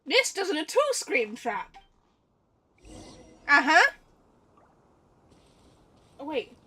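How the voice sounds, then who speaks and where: A woman's voice: high voice, wildly swooping pitch, very slow, bright, forceful tone. A woman giving casual, exclamatory livestream commentary on a game, repeating phrases and swearing freely.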